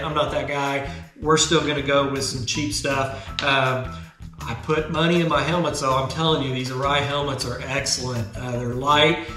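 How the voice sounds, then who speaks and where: A man in his fifties hosting a motorcycle vlog channel, explaining a helmet setup in a relaxed, chatty, self-deprecating way at a desk indoors. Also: medium pitch, reverberant. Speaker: motovlog channel host. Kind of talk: casual gear tutorial. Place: indoor garage studio.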